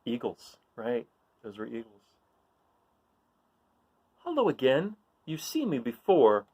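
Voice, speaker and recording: Man with a very animated, swooping delivery. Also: medium pitch, slow, breathy edge. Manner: encouraging, asks listeners questions. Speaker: read-aloud host for children. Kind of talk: picture-book read-aloud with guessing-game chat.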